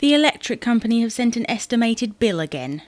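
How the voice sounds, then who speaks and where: Actress as exasperated British wife, particular, nagging, matter-of-fact, domestic audio comedy, dry recording. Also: female voice, fairly high pitch, very animated, a little fast, no echo. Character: exasperated, bickering wife, particular about household bills. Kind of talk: audio sitcom acting, domestic dialogue.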